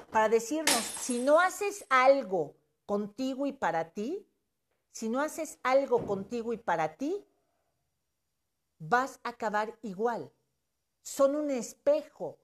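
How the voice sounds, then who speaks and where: A Mexican woman in her fifties giving an emphatic, preachy motivational talk on social media, with casual swearing. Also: a little high. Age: 50s.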